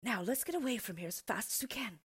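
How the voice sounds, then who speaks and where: A woman's voice: a little high, lively intonation, fast talker, breathy edge, dry recording, studio quality, pitched above the performer's own voice. A woman voicing a young boy, speaking plainly with little change from her own voice. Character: young boy, eager to flee.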